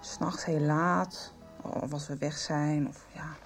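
An actress playing a troubled, hesitant teenage girl in a radio drama, speaking haltingly. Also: low-pitched, steady tone, loud music behind the voice. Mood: hesitant, resigned.

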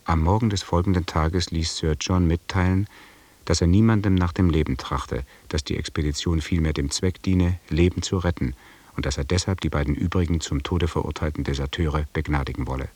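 An actor playing a sombre expedition officer who narrates a radio play in a sober, measured, husky voice. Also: male voice, deep voice, fairly even intonation, a little mellow. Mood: sombre, detached. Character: sombre expedition officer recounting grim events.

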